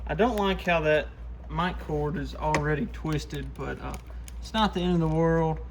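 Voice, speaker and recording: A man's voice: fairly high pitch, normal speed. A man giving an informal, plain-spoken, hands-on demo as the host of a repair shop channel. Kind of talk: casual hands-on demo narration. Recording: clearly noisy shop recording.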